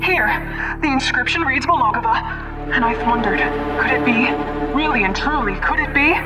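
Woman playing proud music teacher, earnest, melodramatic, radio mystery, slightly distant, loud backing music. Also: sits high, moderate pace, natural voice. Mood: earnest, breathless hope. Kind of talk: old-time radio mystery acting.

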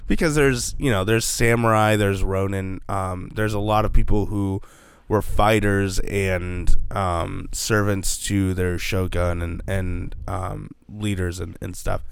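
A man who is sick today talks in a rough, gravelly low voice full of fry. He rambles loosely through casual podcast chat with many fillers and restarts. The sound is close and dry. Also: steady tone, takes it slowly, few pauses, no room sound. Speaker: podcast co-host.

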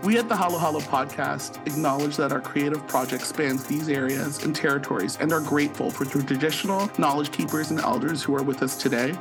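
Male co-host, slightly higher voice, animated storyteller, music bed clearly audible. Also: fairly quick, few pauses, rough recording. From Canada. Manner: animated storyteller, laughs easily. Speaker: podcast co-host. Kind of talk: casual chat.

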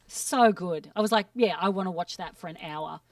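A woman's voice: lowish voice, moderate pace, dry recording, far from the mic. A woman chatting casually on a podcast, gushing enthusiastically about music she loves. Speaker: guest.

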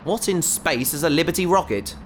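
A woman playing a gentle, enthusiastic scientist aboard a spaceship in a sci-fi audio drama. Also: deep voice, animated, brisk, a little hiss or hum. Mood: wary, chiding.

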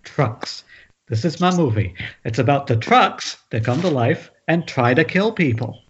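A man's voice: mid-range voice, expressive, measured pace, natural voice. A man playing a manic, foul-mouthed horror writer in an amateur screenplay table read. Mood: manic, scattered. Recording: dull, noisy internet call audio.

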